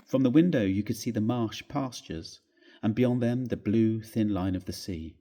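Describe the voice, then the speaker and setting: A man with a husky voice reading a story aloud in a measured, expressive, theatrical way. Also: on the low side. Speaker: reader of a classic ghost story.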